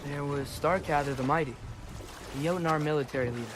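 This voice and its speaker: A man's voice: a little high, brisk, noisy background, close to an everyday voice. A young man playing a curious, persistent teenage boy in a video game. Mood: eager, persistent. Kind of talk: video game dramatic acting.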